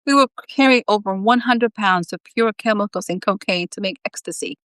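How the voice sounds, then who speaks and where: Storybook worrier, male, sheepish and soft-spoken female reader, dry close recording. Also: mid-range voice, normal speed, smooth, no echo, natural voice. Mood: anxious explaining. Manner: soft, hesitant, mumbling. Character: anxious, sheepish, gloomy man among friends.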